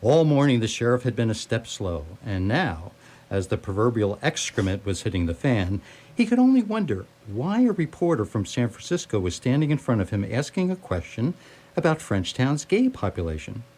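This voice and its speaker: A man's voice: medium pitch, big pitch swings, moderate pace, darkish tone. A man reading scripted story narration for radio theatre, with a wry, literary storytelling manner. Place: live radio theatre performance.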